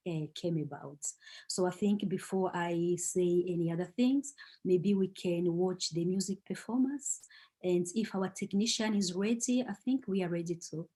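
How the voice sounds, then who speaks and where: Soft-toned woman, hesitant speaker. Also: fairly low pitch. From Democratic Republic of Congo. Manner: measured, with frequent hesitations. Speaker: guest speaker, singer-songwriter. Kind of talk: online talk introduction.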